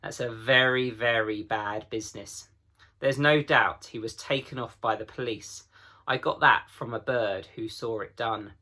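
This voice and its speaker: A man voicing a gruff, proud old talking beaver in a children's storybook, folksy and emphatic. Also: medium pitch, unhurried, sharp tone. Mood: grave, gloomy.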